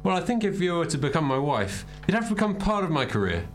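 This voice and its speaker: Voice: mid-range voice, big pitch swings, fast talker, soft background music, natural voice. A performer voicing a cheeky male club owner on a dating show. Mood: breezy.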